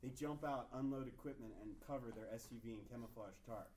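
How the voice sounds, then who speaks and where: A man's voice: medium pitch, quick pace, a little mellow. A man reading out stage directions as the narrator of a comedy script at a table read. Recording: echoey room, distant mic, faint noise.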